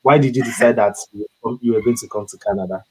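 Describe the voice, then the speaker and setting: Halting male interview host. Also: fairly low pitch, slightly rough, low-quality recording. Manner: halting, repeats words with many fillers. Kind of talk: online interview.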